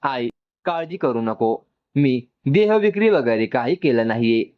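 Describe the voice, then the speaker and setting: A defiant, wounded young woman in a storybook family drama performed by a man, answering firmly and with exasperation. The pitch swings widely, on a slightly dull lo-fi recording. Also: very animated, unhurried. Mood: hurt, tearful. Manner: curt, firm retorts.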